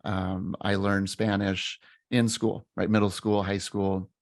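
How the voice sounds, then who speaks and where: A man in his thirties with a fairly low voice recalls a story in an earnest, halting way, often restarting his sentences. The sound is dry and close to the mic, with no room around it. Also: level tone, no echo. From United States. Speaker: teacher and podcast co-host. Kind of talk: reflective storytelling in casual chat.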